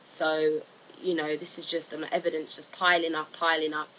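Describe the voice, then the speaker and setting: A woman's voice: deep voice, flat delivery, moderate pace, sharp tone. A woman giving informal, hesitant opinion commentary as a video narrator, repeating herself with lots of filler phrases. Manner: hesitant, repetitive, frequent "you know" and "like". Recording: noisy amateur voice-over with clear hiss.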